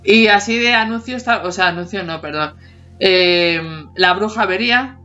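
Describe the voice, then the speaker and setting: A woman's voice: medium pitch, normal speed, sharp tone. Woman in her 40s chatting on a podcast, giggly, dull lo-fi sound with faint music under it. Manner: laughs often, hums snippets of tunes. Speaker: podcast co-host. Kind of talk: casual chat about childhood TV songs.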